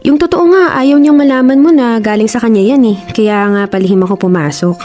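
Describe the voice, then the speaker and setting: A woman acting in a Filipino radio drama as a sheepish young friend who coaxes gently. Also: a little high, a little fast, slight background noise, natural voice. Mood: coaxing.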